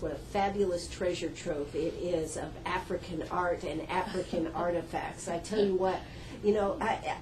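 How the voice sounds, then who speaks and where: A woman's voice: fairly low pitch, noisy background. A woman hosting a radio interview in an art gallery, chatty and effusive, with a folksy way of talking. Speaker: radio host.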